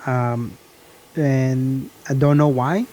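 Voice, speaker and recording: A man's voice: mid-range voice, fairly even intonation, very slow, dark tone. A man in his early thirties talking in a casual, rambling vlog style, often repeating and restarting his phrases. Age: early 30s. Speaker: car vlogger.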